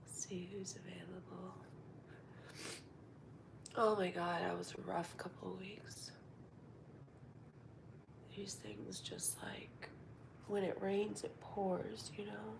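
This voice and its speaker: A woman with a cold, stuffed up and nasal, chatting casually to her livestream viewers from home in a soft voice that slips into half-whispers. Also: on the low side, little pitch movement, slow, creaky, pauses often, noisy background. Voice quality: congested, nasal. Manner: soft-spoken, drifts into half-whispers, many fillers. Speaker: livestream host. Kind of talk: casual live-stream chat.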